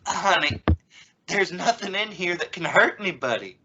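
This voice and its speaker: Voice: mid-range voice, expressive, moderate pace, muffled recording, lightly acted. A woman playing a flustered, impatient middle-aged father in an old-time radio family comedy, stammering in exasperation. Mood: forced calm. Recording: noisy recording with clicks.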